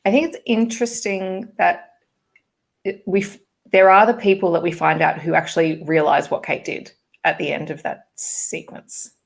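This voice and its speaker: A woman with a husky voice chatting casually as co-host of a book readalong. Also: fairly low pitch, little pitch movement, normal speed. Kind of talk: casual, conversational book chat.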